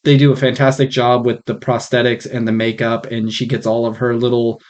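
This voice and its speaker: A man hosting a podcast, chatting casually in an informal, frank and joking way, on slightly rough mic audio. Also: mid-range voice, flat delivery, a little fast. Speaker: podcast host.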